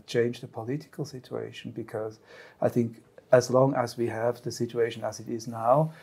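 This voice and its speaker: Middle-aged male psychiatrist on a panel, mellow, earnest and reflective. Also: mid-range voice, slightly rough. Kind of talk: panel discussion answer.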